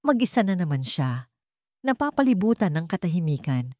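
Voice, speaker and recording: A woman's voice: on the low side, big pitch swings, normal speed, warm tone, dry recording, studio quality. A woman with a Filipino accent narrating a romance drama story in an emotional, dramatic way.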